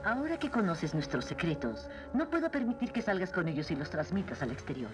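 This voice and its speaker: A woman's voice: on the low side, sing-song, brisk. A woman playing a haughty, stern queen with an imperious manner in a Latin American Spanish cartoon dub. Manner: imperious, commanding. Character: haughty, stern adult queen of a city of women. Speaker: voice actor in a dubbed cartoon. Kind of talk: dramatic cartoon dub acting. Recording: dubbed cartoon with music underneath.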